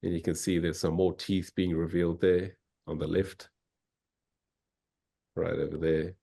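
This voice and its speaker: South african guy with a really low voice, chill and excited, talking over a video call. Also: a little slow, gravelly. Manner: easygoing and enthusiastic. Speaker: fossil hunter and guest speaker. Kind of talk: informal slide presentation.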